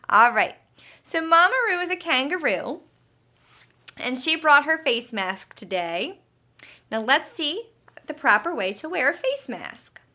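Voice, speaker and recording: A woman with a bright, fairly high voice leads storytime for young children. She takes her time, explaining things simply and asking encouraging questions in an expressive teacher's manner. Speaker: children's health educator and storyteller. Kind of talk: children's lesson and read-aloud storytime.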